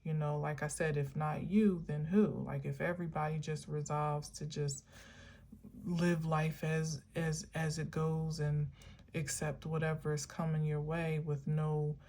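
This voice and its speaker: A woman with a low voice talks unhurriedly through a reflective spiritual monologue. She meanders and muses, filling pauses with ums and likes. Her tone stays earnest and encouraging throughout. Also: flat delivery, a little mellow, slight background noise. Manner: meandering, musing, many filler words. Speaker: spiritual vlogger.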